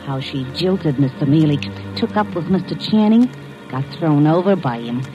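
Dull old radio sound with music, gossipy catty secretary, lowish female voice, insinuating. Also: lively intonation, normal speed. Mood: gossipy, sly. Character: gossipy, eager female secretary dishing on colleagues. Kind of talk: classic American radio drama acting.

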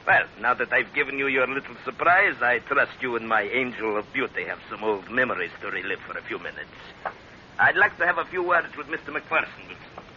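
An actor playing a suave, menacing pirate chief in an old-time radio drama, with courtly, formal phrasing. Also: male voice, medium pitch, expressive, a little fast, bright, forceful tone. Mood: silky, courteous. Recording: dull, hissy old radio recording.

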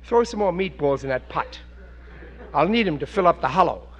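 Casting: clueless blustery family man, old-time radio comedy, folksy delivery with wide pitch swings. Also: lively intonation, brisk, slightly rough, highs cut off. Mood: cheerful, hungry. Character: bumbling, oblivious working-class husband and father. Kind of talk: 1940s radio sitcom acting. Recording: vintage radio broadcast recording.

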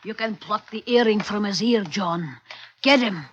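A wily small-time crook from an old radio play. The voice is sharp and unhurried, wheedling in rustic sailor talk like a sidekick forever coaxing a bigger partner. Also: male voice, high voice, muffled recording, a little hiss or hum. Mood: sly coaxing. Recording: vintage radio recording.

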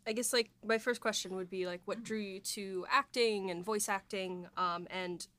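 A woman's voice: mid-range voice, steady tone, normal speed, fairly bright tone. A woman hosting a casual interview podcast, introducing and questioning in a conversational way. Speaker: podcast host.

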